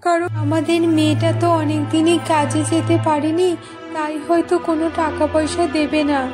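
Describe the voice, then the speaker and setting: Cartoon casting: actress as humble village mother, deferential and pleading, high pitch, flat intonation. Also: normal speed, music in the background, lightly acted. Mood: worried, doubtful. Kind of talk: cartoon story dialogue.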